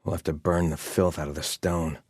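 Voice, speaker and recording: A man's voice: a bass voice, flat delivery, a little fast, darkish tone, breathy edge, no room sound, clean studio sound. A man playing a haughty, devout exiled soldier in a video game, speaking in a stern, disdainful and formal way. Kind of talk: video game character voice lines.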